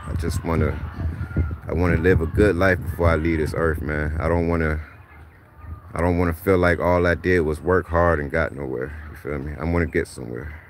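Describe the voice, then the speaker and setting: A man's voice: a bass voice, fairly even intonation, a little fast, a little hiss or hum. A man in his thirties talking casually on an outdoor livestream, rambling and repeating "man" as he gives motivational advice. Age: 30s. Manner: rambling, repeats "man" often, laid-back preachy. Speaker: livestream host. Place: outdoors in a backyard driveway.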